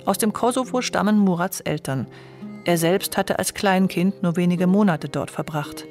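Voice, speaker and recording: A woman narrating a radio documentary feature in a calm, measured reading style. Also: lowish voice, faint music behind. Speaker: narrator and author.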